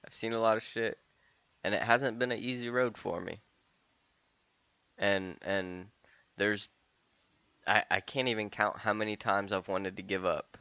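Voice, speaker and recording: A man's voice: on the low side, steady tone, unhurried, long pauses, sharp tone, clear, resonant tone, no room sound, lo-fi sound. A man of about thirty talking casually and candidly in a rambling solo podcast monologue, often restarting and swearing. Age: 30s. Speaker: podcast host.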